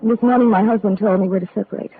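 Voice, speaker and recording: A woman's voice: mid-range voice, fairly even intonation, racing, natural voice. An actress playing a heartbroken woman clinging to her lost love, pleading and emotional in 1940s radio drama style. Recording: tinny old radio broadcast with faint hiss.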